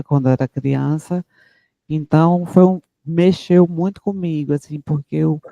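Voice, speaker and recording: A woman with a Cearense accent speaks slowly and haltingly, with many fillers. Her voice is gravelly, dark and set a little high. The sound is dry. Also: dry recording. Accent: Cearense Brazilian Portuguese. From Fortaleza, Ceará, Brazil. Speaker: audience member and researcher. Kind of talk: spontaneous remarks at a panel.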